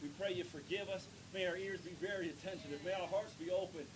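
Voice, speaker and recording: A man preaching in a church, his voice on the higher side for a man. He reads scripture, prays, and works up to loud, emphatic points, stretching out key words. The recording carries steady noise and a low rumble underneath. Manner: builds to loud emphatic preaching with drawn-out stresses. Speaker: pastor. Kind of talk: sermon with prayer and scripture reading. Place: church sanctuary.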